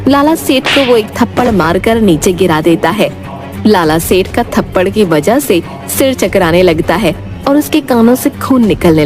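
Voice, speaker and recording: A woman narrating an animated moral tale as scripted storytelling. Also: medium pitch. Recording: music behind the voice.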